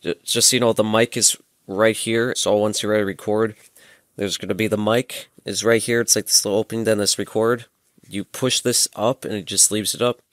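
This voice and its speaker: A man's voice: fairly low pitch, flat delivery, fairly quick, no echo, studio quality. A young adult man, giving a casual hands-on demonstration and explaining informally, step by step. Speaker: presenter of a gadget tutorial. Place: small room at home, indoors. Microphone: headset microphone.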